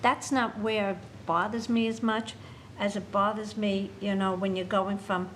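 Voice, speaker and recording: An older woman with a New York accent raises her concerns at a public meeting. She speaks in an earnest, halting way, full of fillers and restarts, at a mid pitch. A faint hiss sits under her voice. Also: little pitch movement, poor audio. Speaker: alderman on a city committee.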